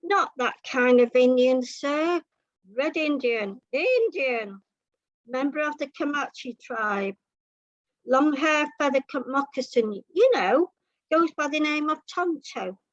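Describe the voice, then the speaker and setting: Masked cowboy for a comic short story, played by a female reader: gentlemanly, slow, high-pitched, muffled recording. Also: lively intonation, no room sound. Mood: patient, correcting. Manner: courteous, addresses people as ma'am and sir. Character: polite masked American cowboy, evasive and gentlemanly.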